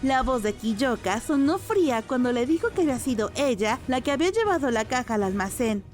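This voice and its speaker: A woman's voice: sits high, sing-song, fairly quick, soft background music. A woman giving a scripted narration as the storyteller of a dramatic recap.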